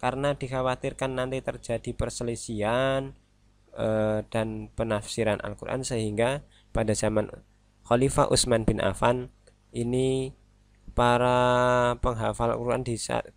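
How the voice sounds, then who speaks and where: A male religious studies teacher, giving a recorded online lesson. He explains step by step, often pausing on "nah" and "eh" and correcting himself as he goes. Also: mid-range voice, no room sound.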